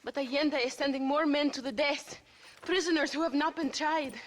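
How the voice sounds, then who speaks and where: Woman playing an urgent, high-voiced idealist. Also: steady tone, moderate pace, close to an everyday voice. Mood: pleading, earnest. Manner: formal, urgent. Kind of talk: video game cutscene acting.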